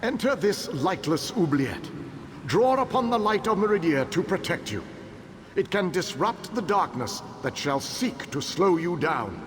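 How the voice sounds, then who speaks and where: A proud ancient elven king, a cold and calculating tactician for a video game. He speaks in a formal, commanding way, his voice sitting a little high and swinging widely in pitch. Long reverb hangs around him over a loud ambient rumble. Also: sing-song, a little slow.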